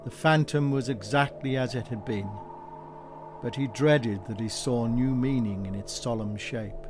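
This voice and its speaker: A man's voice: mid-range voice, unhurried, noisy background. A man narrating a classic story expressively, as an audiobook reader. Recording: dry home recording with music behind.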